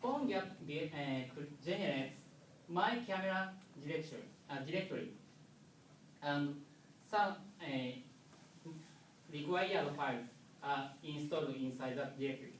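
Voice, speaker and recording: A man from Japan with a Japanese accent, a non-native English speaker giving a technical conference presentation with halting, pause-filled phrasing. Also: on the high side. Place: lecture room. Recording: echoey room, distant mic, faint noise.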